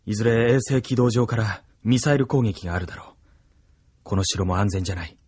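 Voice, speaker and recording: An actor playing a remorseful, gentle soldier in an intimate anime audio drama. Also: male voice, fairly low pitch, brisk, husky, no room sound. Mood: sorrowful, tender.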